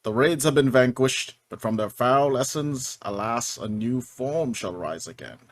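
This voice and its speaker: A man's voice: medium pitch, unhurried, no echo, lightly acted. A man playing a grave, stately, ageless elven king in a fantasy table read, formal and declamatory. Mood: solemn, grave.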